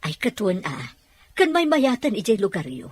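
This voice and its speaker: A woman's voice: mid-range voice, very animated, moderate pace, slightly rough. A woman playing an outspoken older boarding house landlady in an audio drama. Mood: curious, agreeable.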